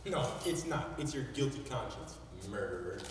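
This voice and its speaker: A man's voice: medium pitch, expressive, moderate pace, slight background noise, lightly acted. An actor playing the vengeful ghost of a murdered man, taunting the living. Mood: menacing. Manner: menacing, taunting. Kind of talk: stage play acting. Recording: echoey hall from far off.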